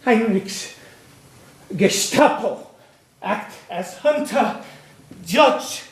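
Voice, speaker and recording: A man plays a defiant, principled pastor held prisoner in a stage drama. He declaims solemnly and slowly in a high-pitched voice. The sound is echoey. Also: expressive, heavily acted. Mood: accusing. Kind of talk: stage play acting.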